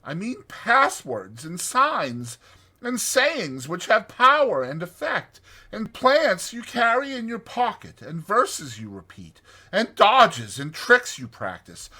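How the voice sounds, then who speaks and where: A man acts out a hearty male water rat from a children's storybook. He is capable and kind, explaining things in an emphatic, jaunty way. The voice is bright, a little husky and very animated. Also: high voice, voice pushed up, full character voice. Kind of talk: dramatized children's storybook reading.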